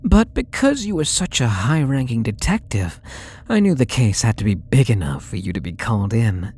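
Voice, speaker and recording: A man's voice: medium pitch, very animated, a little mellow, slightly rough. A young man playing a possessive, sweetly menacing male stalker in an ASMR roleplay audio drama, with a taunting, coaxing manner. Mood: smug, confiding. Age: young adult. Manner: slow, taunting, coaxing delivery.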